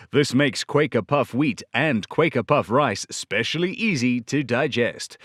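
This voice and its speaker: A man's voice: mid-range voice, fairly bright tone, no echo, studio quality. Man as an emphatic 1930s radio announcer. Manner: emphatic salesman delivery. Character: earnest, enthusiastic male 1930s radio announcer and pitchman.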